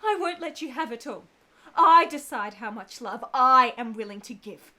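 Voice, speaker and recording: A woman's voice: fairly high pitch, expressive, normal speed, pushed and bright. An actress playing a passionate, guilt-ridden married woman in a period radio drama, with theatrical emotion and formal phrasing. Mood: furious, wounded.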